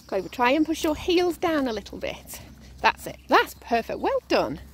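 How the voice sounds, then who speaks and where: A woman's voice: high voice, very animated, moderate pace, a little hiss or hum. A woman coaching a child on a pony outdoors, upbeat and full of praise. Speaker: parent coaching a child rider. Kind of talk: casual encouraging instruction. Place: outdoors at a rural pony paddock and lane.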